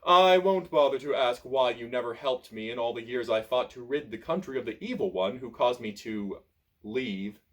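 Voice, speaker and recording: A man voicing a calm, genial old male wizard who drawls with dry amusement in a storybook. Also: mid-range voice.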